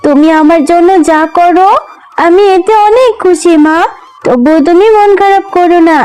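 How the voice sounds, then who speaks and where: Very high-pitched little cartoon girl. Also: normal speed, a little mellow. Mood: consoling. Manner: pleading, childlike, earnest. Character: Tumpa, sweet poor little fox girl, eager and obedient. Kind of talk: children's cartoon dialogue.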